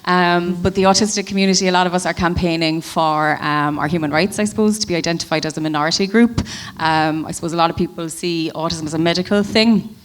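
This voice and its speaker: A woman in her forties talks as a panel guest. Her voice sits very low, and she weighs each thought, filling pauses with ums. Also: steady tone, slight background noise. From Ireland. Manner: thoughtful, frequent ums, measured. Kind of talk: panel discussion.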